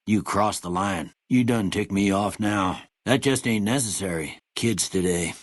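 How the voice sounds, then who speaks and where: Old country doc from a video game, american, deep husky voice, kindly n weary, folksy drawl, dry close sound. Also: male voice, measured pace, dry recording. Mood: stern, angry. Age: older. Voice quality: husky, gravelly. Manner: folksy, rustic, plain-spoken drawl. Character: kindly, world-weary old small-town doctor, folksy, plain-spoken. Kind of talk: video game character dialogue.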